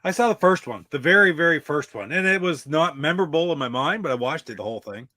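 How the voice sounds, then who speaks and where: Higher-voiced man thinking aloud. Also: lively intonation, quick pace, sharp tone, dry recording, studio quality. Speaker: panelist on a gaming live stream. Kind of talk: casual panel chat.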